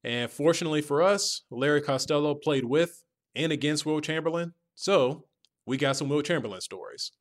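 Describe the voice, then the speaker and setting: A man's voice: fairly high pitch, normal speed. An American man hosts a podcast, narrating links between interview clips in a presenter's conversational style. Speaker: podcast host.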